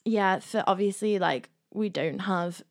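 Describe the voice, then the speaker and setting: A woman hosts a podcast in a casual, conversational style. She is chatty and informal, with a medium-pitched voice. The recording is dry, with little room sound. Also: a little slow, no room sound. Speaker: podcast co-host.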